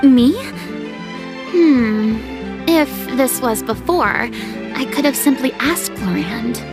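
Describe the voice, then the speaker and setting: A woman's voice: a little high, animated, takes it slowly, over music. A woman playing a proud, theatrical young star turned film director in a video game, haughty and self-important. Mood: businesslike, pensive.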